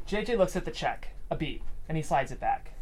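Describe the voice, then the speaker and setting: A man's voice: a little high, big pitch swings, brisk. A man reading stage directions as scripted narration at a table read, with room noise behind him.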